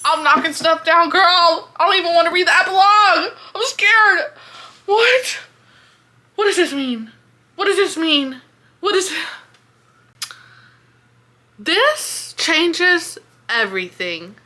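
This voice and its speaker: American girl doing book reactions, high bright voice, super excitable n sweary. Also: slow, long pauses. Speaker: book vlogger. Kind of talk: casual reading reaction chat.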